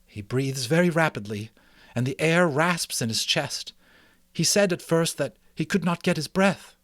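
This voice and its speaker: A worried mother mouse from a storybook, played by a man. The voice is raised in pitch and moves up and down freely. She speaks politely, with an earnest, pleading manner. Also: lively intonation, measured pace, a bit bright, no room sound, clean studio sound. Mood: anxious. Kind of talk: dramatic storybook character reading.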